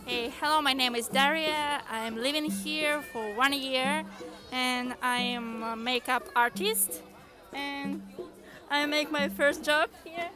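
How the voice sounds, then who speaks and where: A woman who works as a makeup artist speaks slowly and haltingly. Her voice sits high. Clear music plays behind her at the outdoor fair. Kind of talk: casual interview.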